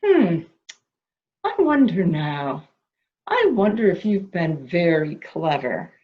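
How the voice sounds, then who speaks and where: A woman's voice: on the low side, takes it slowly. A woman playing a coaxing, anxious character who humors a madman in a theatrical murder mystery drama, recorded with muffled sound. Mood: coaxing, wary. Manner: soft questioning, flattering, theatrical. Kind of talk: amateur murder mystery audio drama acting.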